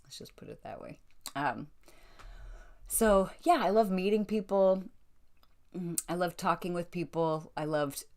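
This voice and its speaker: American woman, low voice, casual livestream storyteller who acts out quotes. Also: unhurried, long pauses, a little mellow. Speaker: belly dancer telling stories of her career. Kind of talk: casual chat to a live online audience.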